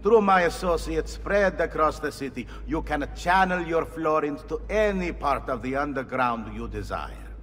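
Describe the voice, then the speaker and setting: Courteous high-voiced guild agent. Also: male voice, animated, normal speed, few pauses, a bit bright, slight background noise. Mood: courteous, businesslike. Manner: courteous, formal, explanatory. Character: formal, obliging agent of an underground guild network. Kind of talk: scripted explanation in a video game. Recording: long reverb, faint music behind.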